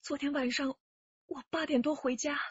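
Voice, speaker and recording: An actress playing a shaken young wife, a crime victim, speaking haltingly in a radio drama. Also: female voice, high-pitched, fairly even intonation, muffled recording, lightly acted. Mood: weak, grateful. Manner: halting, hesitant.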